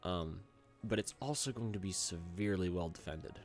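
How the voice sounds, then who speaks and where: A young man with a low, rough voice, hoarse from a cold. He chats loosely through his gameplay commentary, full of ums and uhs, into a desk mic. Faint music plays under his talk. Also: normal speed. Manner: rambling, filler-heavy and chatty. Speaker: gaming channel host.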